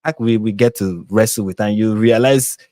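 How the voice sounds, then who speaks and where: Nigerian male host, animated, stumbles and restarts, dry close sound. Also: normal speed, no echo. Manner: animated, many restarts, pitch climbs when stressing a point. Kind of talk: live stream discussion.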